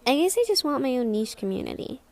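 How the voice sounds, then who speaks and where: A young woman with a squeaky voice rambling in a casual vlog, hesitant and self-conscious, full of restarts and trailing words. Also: big pitch swings, fast talker, creaky, a little mellow. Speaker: vlogger.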